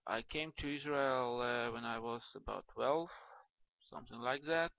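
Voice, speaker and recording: A young man from Ukraine, a native Russian speaker, with a Russian and Hebrew accent, talking to camera in a halting, casual way with many fillers and restarts. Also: medium pitch, vocal fry, lo-fi sound. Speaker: video blogger.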